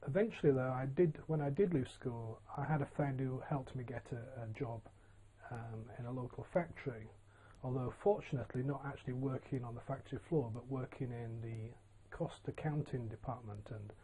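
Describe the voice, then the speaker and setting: Mellow-voiced older man from Yorkshire. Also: mid-range voice, rough recording. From Yorkshire, England. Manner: relaxed, plain-spoken, with frequent ums and uhs. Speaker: business founder telling his story. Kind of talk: casual autobiographical monologue.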